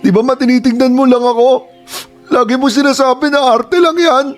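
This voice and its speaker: A man's voice: very high voice, steady tone, fairly quick. An actor playing a hurt young adult son who confronts his mother in a Tagalog radio drama, emotional and pleading. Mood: tearful. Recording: faint background music.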